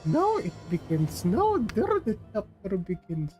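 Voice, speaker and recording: A woman's voice: medium pitch, huge pitch swings, unhurried, mellow. A woman livestreaming a video game, chatting and reacting casually. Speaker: game streamer. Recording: game music behind the voice.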